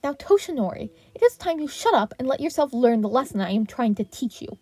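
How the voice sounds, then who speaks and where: A woman voicing an indignant male teacher in a story reading, sharp and commanding as he lectures a colleague. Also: sits high, very animated, a little fast, dry recording, pitched above the performer's own voice. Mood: stern lecturing.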